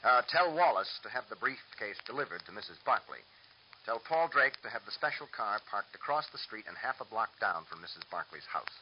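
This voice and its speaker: A man playing a commanding, methodical lawyer-sleuth with an American accent in a radio drama, giving crisp, orderly instructions. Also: lowish voice, animated, fairly quick, lightly acted. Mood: urgent, commanding. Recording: dull old radio recording, faint hiss.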